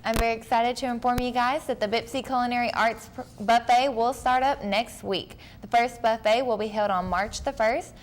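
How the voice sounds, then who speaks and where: A woman's voice: on the high side, steady tone, moderate pace, few pauses, slight background noise. A young woman anchoring a college news show, reading announcements in a friendly, upbeat way. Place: college TV studio.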